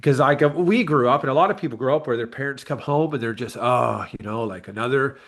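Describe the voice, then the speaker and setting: A man's voice: medium pitch, fast. Casual, earnest man in his thirties. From Canada. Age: late 30s. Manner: candid, earnest, reflective. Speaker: bodybuilder and online coach, interview guest. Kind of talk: casual interview chat.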